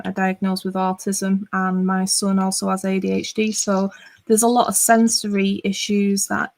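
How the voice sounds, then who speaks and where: A middle-aged woman from northwest England talks in a relaxed, meandering way and fills her speech with "you know" and "um". Her accent comes from near Liverpool, and her pitch sits in an ordinary middle range. She sounds like she's hosting a casual live stream from home. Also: rough recording. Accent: northwest English. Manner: chatty, rambling, many fillers. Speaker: livestream host. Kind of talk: casual chat.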